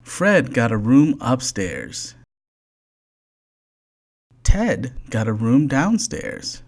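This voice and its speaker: Storyteller for a children's bedtime book: unhurried, deliberate, animated intonation. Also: mid-range voice. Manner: slow, deliberate, expressive read-aloud. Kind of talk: scripted children's storybook narration.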